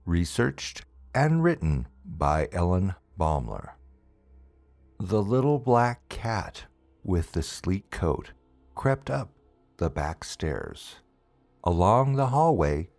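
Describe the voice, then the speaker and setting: Slow, low-voiced man narrating ghost stories. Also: vocal fry, darkish tone, no room sound. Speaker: storyteller, narrator. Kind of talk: scripted ghost story narration. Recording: music bed behind the voice. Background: background music.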